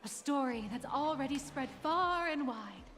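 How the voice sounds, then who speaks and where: A woman's voice: high-pitched, expressive, measured pace, a bit bright, soft background music. A woman voicing a polished corporate tour guide in a video game, formal and guarded. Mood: composed. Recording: game audio with long reverb.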